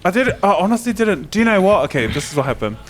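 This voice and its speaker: A man's voice: high voice, very animated, fast talker. A man chatting casually and playfully, telling personal anecdotes and quoting people as he goes. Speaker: podcast guest. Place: filmed podcast at a hotel venue.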